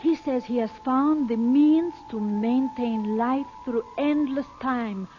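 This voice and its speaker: Grave fearful egyptian lady, real slow, higher voice, old radio drama. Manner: slow, measured, grave delivery. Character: solemn, fearful Egyptian woman reading an ancient secret. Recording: muffled, hissy old radio recording.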